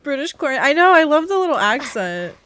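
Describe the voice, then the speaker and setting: A woman's voice: sits high, brisk, no room sound. Girl streamer reacting to a game, gushing, casual, really up and down voice, dry mic. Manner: gushing, excitable. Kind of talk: casual live reaction commentary.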